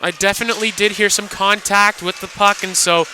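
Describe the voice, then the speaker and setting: A man's voice: high voice, steady tone, brisk, sharp tone. A man giving live radio analysis as color commentator at an ice hockey game. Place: indoor hockey arena. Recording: noisy live arena radio broadcast.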